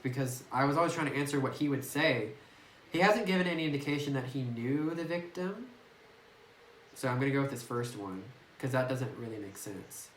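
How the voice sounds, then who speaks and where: A man's voice: mid-range voice, a bit bright. A man commentating a horror game as he plays, musing and getting spooked, thinking aloud with plenty of fillers. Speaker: gameplay streamer. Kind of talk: let's play commentary, reading game text aloud. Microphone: phone recording away from the speaker. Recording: echoey room, distant phone mic, faint noise.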